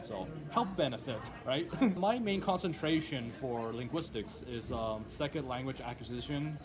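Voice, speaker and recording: A young man whose first language is Cantonese, talking in a relaxed, casual way with plenty of ums and uhs. His voice sits at an ordinary pitch, and a crowd chatters clearly in the background. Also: moderate pace. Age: young adult. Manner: relaxed, frequent fillers. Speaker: linguistics student. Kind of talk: casual chat.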